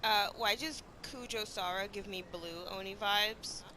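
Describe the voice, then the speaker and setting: A woman streamer giving casual, expressive, joking reaction commentary while playing a video game. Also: mid-range voice, a little slow, a little hiss or hum. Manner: expressive, joking, mock-indignant. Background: game music.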